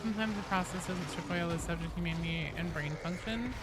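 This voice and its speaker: A woman's voice: lowish voice, fast talker, very noisy. A woman livestreaming a game, chatting casually and discursively about it, with frequent swearing. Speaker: game streamer. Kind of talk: casual livestream gaming chat.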